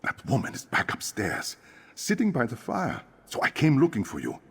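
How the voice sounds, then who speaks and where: An actor playing a friendly, chatty innkeeper and eager drink inventor in a fantasy video game, theatrical and animated. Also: male voice, mid-range voice, moderate pace. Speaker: innkeeper giving instructions. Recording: long reverb, music behind.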